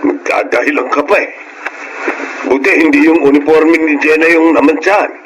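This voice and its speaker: A man acts a grumbling, bossy middle-aged uncle in a Filipino radio drama. His voice sits fairly high for a man, with a Filipino accent and an irritable, fault-finding manner. Faint noise runs behind it. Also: steady tone, moderate pace, a bit bright, lightly acted, rough recording. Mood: annoyed, complaining.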